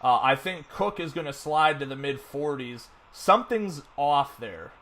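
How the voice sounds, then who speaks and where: A man's voice: a little high, lively intonation, measured pace, a bit bright. A man hosting a casual fantasy football podcast, rambling with lots of fillers and restarts. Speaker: podcast host. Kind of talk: casual sports podcast chat.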